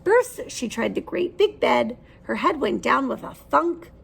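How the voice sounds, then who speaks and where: A woman's voice: sits high, lively intonation, unhurried, creaky, husky. A woman reading a children's story aloud expressively, putting questions to her listeners as she goes.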